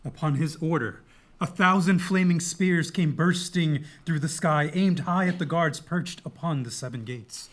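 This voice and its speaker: A man's voice: a little high, measured pace, close to an everyday voice. A man playing a solemn chorus member, reciting battle verse poetically in a Greek tragedy. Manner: declamatory, poetic. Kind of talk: dramatic reading of Greek tragedy.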